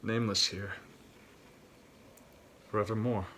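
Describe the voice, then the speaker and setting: A man narrating a classic poem as a dramatic gothic recitation, theatrical and swinging between hushed and loud delivery. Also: on the low side, flat delivery, measured pace, a little hiss or hum.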